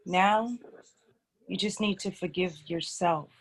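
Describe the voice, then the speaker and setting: A woman playing a gentle, forgiving daughter returned from death, reading earnestly in a drama table read. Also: lowish voice, slow, breathy edge, full character voice, poor audio. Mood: tender, pleading. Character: gentle forgiving ghostly young daughter, pleading girl.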